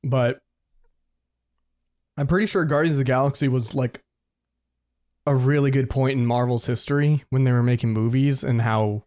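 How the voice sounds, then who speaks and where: A man with an average-pitched voice chats as a podcast co-host. His delivery is informal and slangy, with swearing mixed into loosely hedged opinions. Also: steady tone, normal speed, pauses often, a little mellow. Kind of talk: casual podcast chat about movies.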